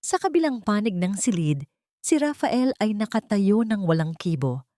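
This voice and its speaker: A woman narrating a dramatic love story in a measured, theatrical reading style. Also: mid-range voice, very animated, mellow, no echo, studio quality.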